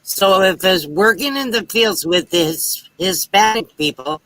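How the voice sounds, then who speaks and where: A man hosting a loose livestream chat, talking in a rambling way and poking fun at himself. His voice sits somewhat high and sounds bright and forceful. The sound is dry and close, with no room echo. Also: expressive, a little slow. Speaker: livestream host. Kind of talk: casual group chat on a livestream.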